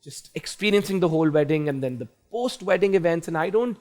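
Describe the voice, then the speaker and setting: A man's voice: a little high, animated, fast, darkish tone. A young Pakistani man hosting a talk show, chatting playfully and teasing his guest, dropping into Urdu and Punjabi asides. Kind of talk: lively chat show banter.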